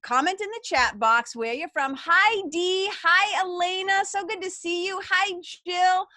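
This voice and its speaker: A middle-aged woman with a Boston accent, co-hosting a live webinar and chatting warmly with viewers in the chat. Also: very high voice, measured pace, no echo, clean studio sound. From Massachusetts. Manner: bubbly, chatty, enthusiastic. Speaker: webinar co-host.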